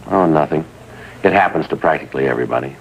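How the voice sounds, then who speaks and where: A man's voice: extremely low pitch, fast, husky. An American man playing a stoic, businesslike police detective in a 1950s drama, speaking in a clipped, matter-of-fact way. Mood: firm, dry. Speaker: police detective lieutenant and narrator. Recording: dull vintage TV soundtrack, music behind.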